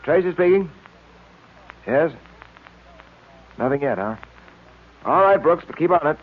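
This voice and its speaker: A man's voice: fairly high pitch, lively intonation, a little slow. A man playing an upright, earnest police detective in old radio drama style, speaking in a firm, clipped, fatherly way. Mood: businesslike. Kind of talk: 1940s radio acting. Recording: tinny noisy old radio recording.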